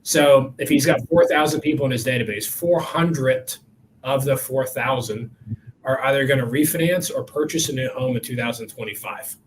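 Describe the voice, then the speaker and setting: A man's voice: mid-range voice, normal speed, low-quality recording. Man, 40s, podcast host, emphatic, motivational, faint background noise. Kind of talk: coaching podcast conversation.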